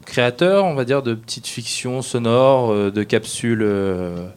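A man introducing himself as a guest at a round table, speaking in hesitant, halting phrases. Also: mid-range voice, a little mellow, clean tone. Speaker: guest, amateur audio fiction creator.

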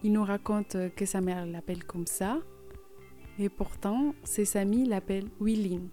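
A woman reads a scripted radio introduction at a very slow pace. Her voice is dark and mellow, with faint music behind her. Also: mid-range voice, fairly even intonation. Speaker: radio presenter.

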